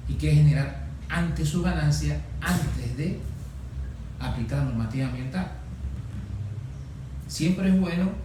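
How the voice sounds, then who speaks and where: A man answering conversationally as a consultant guest in an interview. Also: a little high. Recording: very echoey, distant mic, noisy room.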